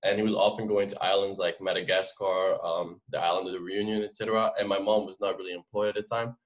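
Podcast guest: man, twenties, French accent, lowish pitch, informal and explanatory. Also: little pitch movement, fast talker, rough recording. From France. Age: 20s. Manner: informal, explanatory, frequent "like" and "you know". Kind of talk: casual interview storytelling.

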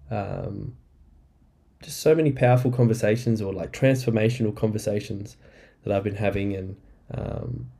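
A man hosting a casual solo podcast, rambling and upbeat, a bit nasal and croaky from the flu, recorded on a laptop mic in an apartment. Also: fairly low pitch, warm tone. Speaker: podcast host. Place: apartment indoors. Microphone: laptop microphone.

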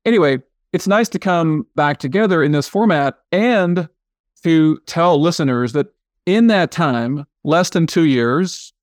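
A man's voice: a little high, animated, measured pace, no echo. A man hosting a podcast interview, chatty and loose, often restarting phrases and checking with tag questions. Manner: casual, hesitant, many restarts and tag questions. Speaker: podcast host. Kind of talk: casual interview chat.

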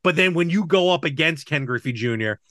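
Higher-pitched man, close dry podcast chat. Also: lively intonation, fairly quick, a bit bright, no echo. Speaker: podcast co-host. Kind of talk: casual chat about movies and games.

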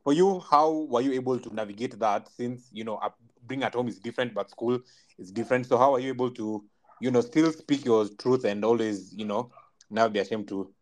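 A man hosts a relaxed podcast chat. He jokes with his guest and keeps digging with curious questions. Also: mid-range voice, fairly quick, poor audio. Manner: teasing, probing questions. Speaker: podcast host. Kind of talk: casual interview.

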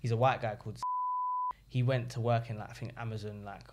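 A young man chats on a podcast as a guest. He tells his stories in an animated way, slipping into the voices of the people he quotes. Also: lowish voice, a little fast, very noisy. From England. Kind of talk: casual podcast chat.

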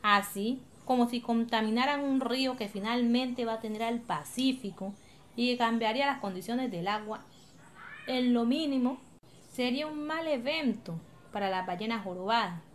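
A woman's voice: fairly high pitch, moderate pace, a little hiss or hum, natural voice. A woman with a Colombian accent acting an encouraging, patient village leader in an educational radio drama, explaining things colloquially. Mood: earnest. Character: encouraging, patient woman leading her coastal village community.

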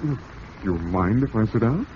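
A man playing a weak, pleading kept husband in an old radio drama, excitable, defensive and wheedling. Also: on the low side, normal speed, lightly acted. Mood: apologetic. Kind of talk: 1940s radio drama acting. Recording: muffled, hissy old radio recording.

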